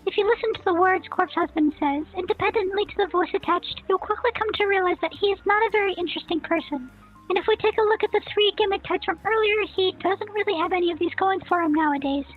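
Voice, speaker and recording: A woman voicing a smug young boy savant who hosts a snarky scripted commentary rant. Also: very high voice. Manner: smug, snarky, self-assured. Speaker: commentary channel host. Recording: tinny phone-like sound, faint music.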